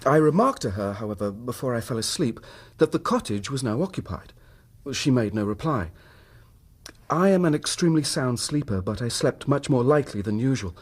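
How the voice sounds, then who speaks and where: An actor playing a troubled, suspicious husband in a radio drama, speaking in formal, precise phrases. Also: male voice, mid-range voice, expressive, moderate pace, lightly acted. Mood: grave, puzzled. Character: troubled, suspicious husband recounting his wife's secret.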